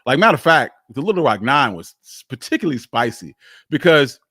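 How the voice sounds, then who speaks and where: Casual, halting man chatting live. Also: medium pitch, big pitch swings, bright, forceful tone, slightly rough, no echo, clean studio sound. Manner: halting, rambling, candid. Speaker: livestream host, history storyteller. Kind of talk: casual unscripted live chat.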